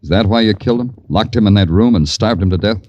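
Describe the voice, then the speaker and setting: An actor playing a wry, weary hard-boiled American police detective who narrates a vintage radio crime drama in a deadpan, sardonic way. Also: male voice, on the low side, fast talker, muffled recording, lightly acted. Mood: blunt, probing. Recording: vintage radio recording, music underneath.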